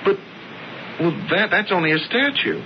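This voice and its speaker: Frank, a stammering lovesick sculptor. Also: male voice, high-pitched, sing-song, normal speed. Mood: anxious. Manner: pleading, stammering, emotional. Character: anxious lovesick male sculptor, younger brother. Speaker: narrator and lead character. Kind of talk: 1970s radio drama acting. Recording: dull lo-fi radio broadcast with faint hiss.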